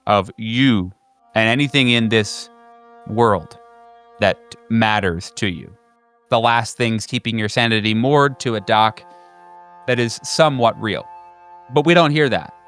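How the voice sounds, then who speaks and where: A man narrating as game master of a horror tabletop game in a deliberate, halting, dramatic way. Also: mid-range voice, fairly bright tone.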